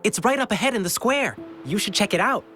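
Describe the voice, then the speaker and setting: A woman's voice: medium pitch, lively intonation, a little fast, fairly bright tone, natural voice. A woman voicing a gracious, sincere young performer in a video game dub, with music behind her. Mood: warm, encouraging.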